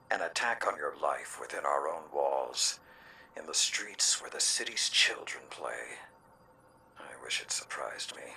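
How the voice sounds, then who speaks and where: A hard-bitten old male warrior, stern and ominous. His voice is extremely deep, forceful and gravelly with a breathy edge. He sounds a little off-mic over faint noise. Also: far from the mic, exaggerated voice, low-quality recording. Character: grim, hard-bitten old warlord veteran urging retribution. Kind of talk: dramatic game cutscene monologue.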